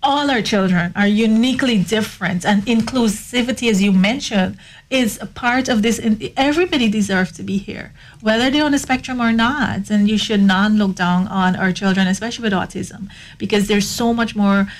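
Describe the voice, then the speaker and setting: A woman's voice: mid-range voice, moderate pace. A woman hosting a talk show, talking earnestly with frequent restarts and "you know" fillers. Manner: earnest, repeats words, many you knows. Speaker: host. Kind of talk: casual talk show conversation.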